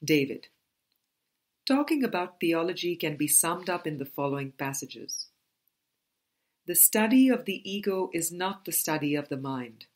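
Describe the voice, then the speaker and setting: A woman reading a book aloud as an audiobook narrator, in measured, deliberate phrases. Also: low voice, expressive. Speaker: audiobook reader.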